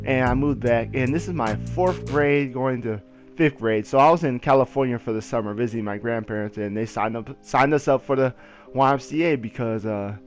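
A young American man casually telling personal stories in a slangy, repetitive, animated way. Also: mid-range voice, a little fast. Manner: slangy, repetitive, animated retelling with acted quotes. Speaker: gaming video storyteller. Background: background music with guitar.

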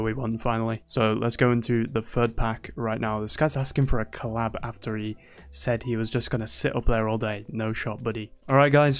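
Young man teasing through gameplay commentary. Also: mid-range voice. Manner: playful, teasing, boastful banter. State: slightly losing his voice. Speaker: gaming YouTuber.

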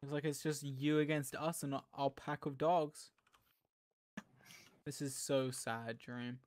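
A man's voice: mid-range voice, flat delivery, slow, a little mellow, dry recording. A man talking casually over a game, laughing easily and laying out a plan for his team. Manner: laughing, planning strategy. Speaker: gamer. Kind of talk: casual gameplay chatter. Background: background music.

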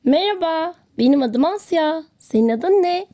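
A woman's voice: very high voice, expressive, a little slow, mellow, voice pushed up. A woman voicing a friendly, eager little schoolgirl in a children's storybook tale. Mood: friendly, curious. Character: excited, slightly shy little schoolgirl, friendly and sweet.

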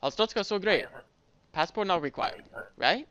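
A man's voice: high voice, animated, moderate pace, sharp tone, clear, resonant tone, dry recording, dull audio, voice pushed up. A man voicing a cheerful, pushy foreign traveler in a game, with a Russian accent and broken English. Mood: pleading, upbeat.

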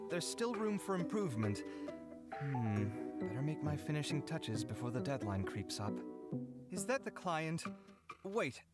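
A dramatic young architect, wry and theatrical, lively pitch swings, game score underneath. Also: male voice, a little slow. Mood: musing. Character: young male architect, proud, dramatic, self-deprecating. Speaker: game character in a trailer. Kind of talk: game voice acting. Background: game music.

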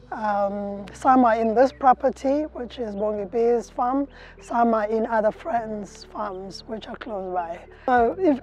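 A woman's voice: fairly high pitch, warm tone, lo-fi sound. A middle-aged woman beekeeper, interviewed outdoors in an orchard, explaining with frequent fillers and restarts. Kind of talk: interview answers.

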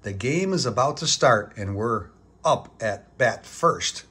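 A man's voice: medium pitch, animated, unhurried, pushed and bright, breathy edge, full character voice. A man voicing a cheerful, encouraging cartoon father in a children's storybook, calling out heartily.

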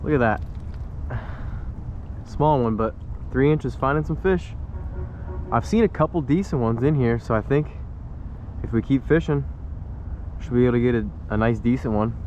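A man's voice: medium pitch, normal speed, pauses often, a little mellow, lo-fi sound, slight background noise. A young man casually narrating a fishing vlog outdoors and chatting to his viewers in an upbeat way. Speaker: fishing vlogger. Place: outdoors by a saltwater creek.

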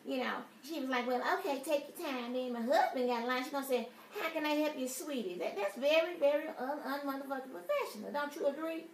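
A woman's voice: high-pitched, fast, few pauses. A woman playing an aggrieved, easily offended customer, rambling and swearing through a prank phone complaint. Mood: indignant complaining. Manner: indignant, rambling, repetitive, foul-mouthed. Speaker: prank caller. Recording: roomy, distant, noisy phone prank recording.

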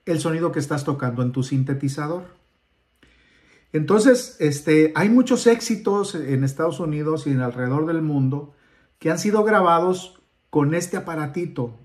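A man's voice: on the high side, a little mellow. A man hosting a gadget video, explaining to viewers in a casual, colloquial, rambling way that often breaks off and restarts. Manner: colloquial, rambling, self-interrupting. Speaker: host of a gadget unboxing video.

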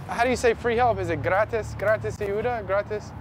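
A young man talks in a casual, joking way, poking fun at himself and calling out to passersby. His voice sits a little high. Clear street and traffic noise runs behind him. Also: fairly quick. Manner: joking, self-deprecating, calls out to strangers. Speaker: vlogger doing a public stunt. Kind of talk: casual self-filmed vlog. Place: indoors then on a busy city street.

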